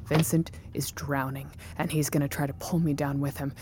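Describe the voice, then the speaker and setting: An actress playing a resolute, controlled tech chief in an audio drama, firm, measured and formal in delivery. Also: female voice, sits low, little pitch movement, a little mellow, slight background noise, close to an everyday voice. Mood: defensive, resolute. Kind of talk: naturalistic audio drama acting.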